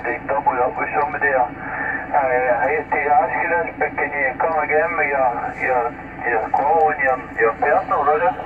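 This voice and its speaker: A man working as a radio operator over CB, trading callsigns in a halting, polite, repetitive way. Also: on the high side, recorded from a distance. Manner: halting, polite, repeats himself. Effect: CB radio transmission. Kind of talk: radio net exchange with callsigns. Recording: tinny, very noisy radio picked up from afar.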